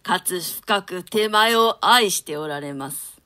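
Brief: 愛想よく腹黒い男の公爵、女性が演じる、低めで明るい声. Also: female voice, lowish voice, expressive, slow pace, pushed and bright, pitched below the performer's own voice, heavily acted. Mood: genial. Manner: courtly, smooth and falsely friendly. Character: scheming male duke, outwardly genial nobleman. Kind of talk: dramatic play reading.

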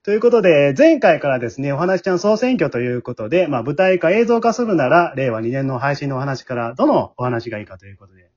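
Male podcast host, Kansai accent, lively swooping intonation, quick delivery, slightly muffled recording. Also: a little high. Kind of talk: casual chat hosting.

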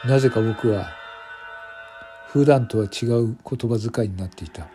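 A man's voice: mid-range voice, unhurried, mellow, soft background music. A man giving scripted first-person narration for a radio drama in a brooding, solemn manner.

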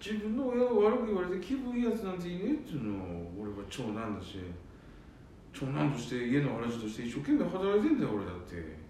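A man's voice: fairly high pitch, wildly swooping pitch, brisk, dark tone. Super echoey radio drama, guy playing tired worried middle aged husband, grumbly muttering, bit of noise. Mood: frustrated, aggrieved. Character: weary, henpecked middle-aged eldest son and worried salaryman. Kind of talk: amateur radio drama acting. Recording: echoey room, distant mic, faint noise.

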